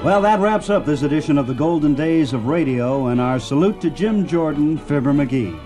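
A man's voice: on the high side, animated, moderate pace, dark tone, faint music behind, low-quality recording. An American man hosting a radio program, interviewing and presenting in a conversational way. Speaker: radio show host, interviewer.

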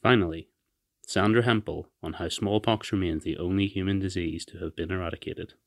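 A man's voice: low-pitched, fairly even intonation, a little fast, vocal fry, dry recording, studio quality. A man reading scripted introductions and author credits as an announcer.

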